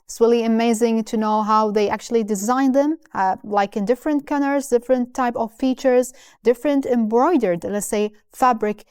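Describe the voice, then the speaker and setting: Enthusiastic woman, smooth clear voice. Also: on the high side, fairly even intonation, a little mellow, no room sound, studio quality. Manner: enthusiastic, halting with many fillers. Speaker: co-host. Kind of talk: casual chat on a TV show.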